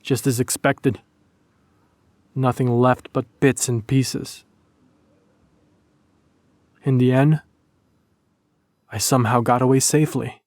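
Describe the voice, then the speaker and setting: A man playing a grim, hardened wartime soldier, gravely narrating a horror audio drama. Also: mid-range voice, fairly even intonation, slow, pauses often, a little mellow, no room sound. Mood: grim, reflective.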